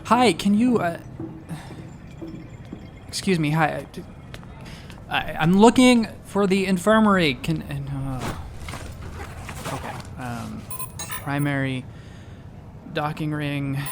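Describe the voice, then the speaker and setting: A man's voice: mid-range voice, vocal fry, noisy background. A man playing an earnest, polite, easily flustered newcomer doctor in a sci-fi comedy audio drama, with an awkward, hesitant manner. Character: earnest, flustered, polite male doctor new to a space station.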